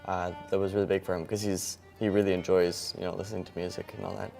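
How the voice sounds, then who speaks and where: A teenage man describing his own experience haltingly, with many fillers. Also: low voice, little pitch movement, creaky, dark tone, faint music behind. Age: teens. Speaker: interviewee.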